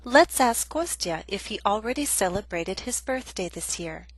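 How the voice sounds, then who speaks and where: Woman, English teacher, scripted lesson, clear measured delivery, mid pitch, dry close recording. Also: expressive, no echo.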